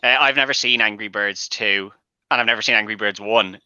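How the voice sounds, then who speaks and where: A man's voice: on the low side, fairly quick, sharp tone, clean tone, no echo. A man giving casual opinions as a guest on an online panel discussion. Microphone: online group call. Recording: dull online-call audio.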